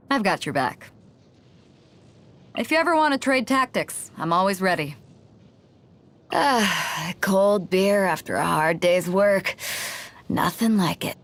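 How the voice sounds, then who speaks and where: A woman playing a bold, hearty, easygoing sea captain for a video game, confident and comradely in manner. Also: fairly low pitch. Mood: confident, inviting, then relaxed, hearty. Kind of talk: video game character voice lines. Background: background music.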